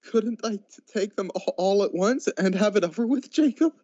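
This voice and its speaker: A man's voice: high-pitched, animated, normal speed, warm tone, no echo. A teenage male actor playing an anxious, grumbling old miser in a radio drama, stammering and repeating his words nervously. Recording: dull lo-fi amateur recording.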